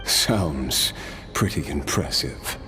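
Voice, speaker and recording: A man's voice: rumbling low, animated, over music, full character voice. An actor playing a weary, cursed immortal swordsman of noble birth in a video game, brooding and reflective with wry resignation. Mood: wry, resigned. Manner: slow, brooding, reflective storytelling. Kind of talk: dramatic video game voice acting.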